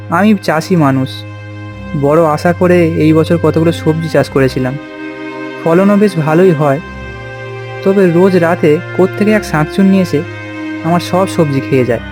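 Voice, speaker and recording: A man's voice: fairly high pitch, darkish tone, lightly acted. A man playing a beleaguered farmer in a fairy tale cartoon, with an earnest, exclamatory way of talking. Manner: exclamatory, plaintive. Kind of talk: children's cartoon voice acting.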